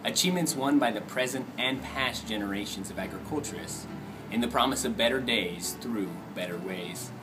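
A man's voice: mid-range voice, moderate pace, poor audio. A young man reciting a creed in a formal style. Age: young adult. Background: instrumental music.

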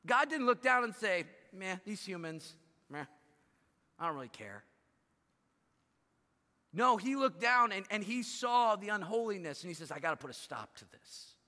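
A man's voice: high-pitched, big pitch swings, normal speed, pauses often, sharp tone. A man in his thirties, a pastor preaching a sermon on a large church stage, his voice rising and dropping for emphasis. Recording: long hall reverb.

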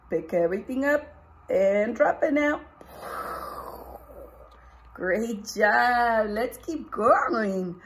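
A middle-aged woman teacher reading a picture book aloud, expressively, putting questions straight to young children. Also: mid-range voice. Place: indoors, small room with posters and books.

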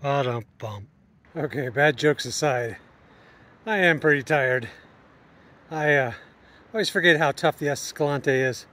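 A man's voice: medium pitch, a little slow, long pauses, pushed and bright, faint music behind. A middle-aged man chatting casually to a handheld camera outdoors as a hiking vlogger, in a jokey, exclamatory way. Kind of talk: casual outdoor vlog chat. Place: outdoors in sandstone river canyons. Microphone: handheld action camera at arm's length.

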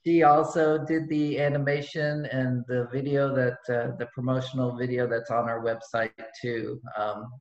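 A man's voice: mid-range voice, level tone, normal speed, few pauses. A middle-aged man hosting a volunteer meeting over a video call, friendly and often restarting his words. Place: living room at home. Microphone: laptop mic on a video call. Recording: roomy, dull video call audio.

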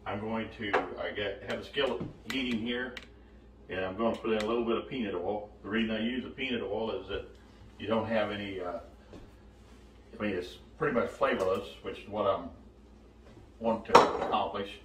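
A man in his sixties giving a casual, halting cooking demonstration as host, recorded from a distance in a home kitchen. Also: fairly low pitch, fairly even intonation. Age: 60s. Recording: echoey kitchen, distant mic, faint noise.

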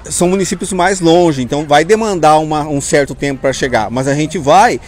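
A Brazilian man in his forties gives spontaneous interview answers as a fire officer. His voice is a little high and he speaks haltingly, doubling back on words. Faint outdoor noise sits behind him. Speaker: firefighter lieutenant, interviewee. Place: outdoors in front of a fire station. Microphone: small mic held at chest level by interviewer. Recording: outdoor street ambience noise.

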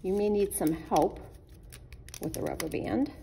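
A woman's voice: mid-range voice, a little slow, gravelly, dark tone, slight background noise. A woman giving patient, simple step-by-step craft instructions for children, as a children's librarian would. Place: indoors at a wooden table.

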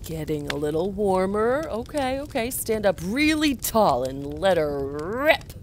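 A woman's voice: medium pitch, very animated, a little slow. A woman voicing God as a burning bush in a children's puppet show, bossy and encouraging, with an emphatic, coaxing delivery. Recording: noticeable background noise.

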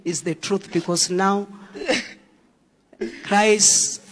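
Male pastor with a high voice leading a slow, commanding deliverance prayer in a reverberant church hall. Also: fairly even intonation, slight background noise.

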